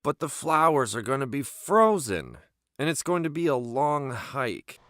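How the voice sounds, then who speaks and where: A man's voice: medium pitch, sing-song, unhurried, a bit bright, no echo, clean studio sound. A man playing a sarcastic, reluctant teenage adventurer in an amateur script read, exasperated and argumentative. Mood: grumbling. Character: grumbling, sarcastic, reluctant male teen adventurer.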